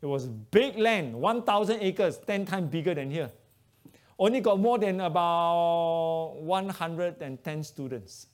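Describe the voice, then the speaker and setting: A man's voice: fairly high pitch, takes it slowly. Malaysian man preaching in broken English. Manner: broken English, animated storytelling. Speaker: preacher giving testimony. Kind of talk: testimony sermon.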